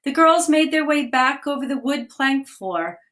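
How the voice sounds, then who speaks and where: A woman's voice: sits high, animated. Woman reading a children's story aloud. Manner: gentle, clear read-aloud pacing, pausing to point out the pictures. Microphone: phone or webcam on a live video stream.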